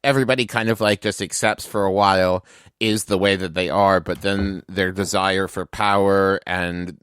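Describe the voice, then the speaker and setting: A man with a fairly low, very smooth voice, captured close and dry. He is a tabletop player making up backstory aloud, casual and explanatory, leaning on conversational filler. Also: fairly even intonation, moderate pace, a bit bright, no echo. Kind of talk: conversational improvised character backstory.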